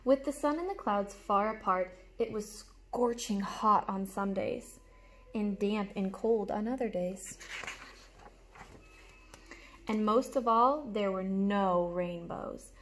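A young adult woman reading a storybook aloud to children like a teacher, with animated, explanatory asides, recorded in a room at home. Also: medium pitch. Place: small room at home, indoors. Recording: clear background noise, room ambience.

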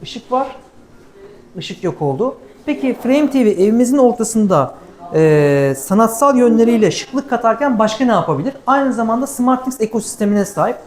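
A woman's voice: fairly high pitch, lively intonation, normal speed, a little mellow. A woman giving a live product demonstration, explaining things step by step as a presenter.

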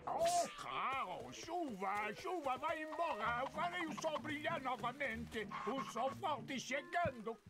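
Bombastic, high-pitched scheming cartoon walrus. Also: male voice, lively intonation, slow, few pauses, heavily acted. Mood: gleeful, excited. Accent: Brazilian. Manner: theatrical, exclaiming and blustering. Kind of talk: cartoon dub acting. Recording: loud music under the voice.

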